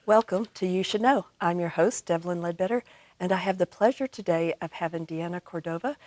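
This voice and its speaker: A woman hosts a conversational interview. Her voice sits slightly low, and the studio sound is dry with very little room around it. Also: a little fast, darkish tone, dry recording, faint music behind. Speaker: talk show host.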